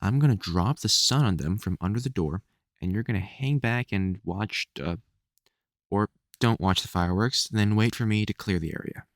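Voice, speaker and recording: An actor playing a gruff, protective vampire hunter who swears and stammers under worry in an audio roleplay. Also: deep voice, moderate pace, dry recording, clean studio sound. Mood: protective, instructing. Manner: sweary, urgent, stammering when worried. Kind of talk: audio roleplay drama spoken to the listener.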